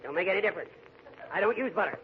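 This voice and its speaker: An actor playing a fussy, dyspeptic, peevish train passenger in a 1940s radio comedy. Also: male voice, high-pitched, expressive, very fast. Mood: snappish. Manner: peevish, snappish, querulous. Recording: muffled, hissy 1940s radio broadcast.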